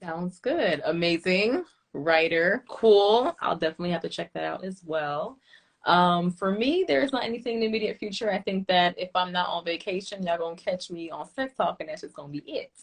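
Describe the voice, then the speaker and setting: A woman's voice: lowish voice, moderate pace. Chatty woman podcast host in her twenties. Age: 20s. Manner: relaxed and chatty, asks long winding questions. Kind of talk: casual podcast conversation.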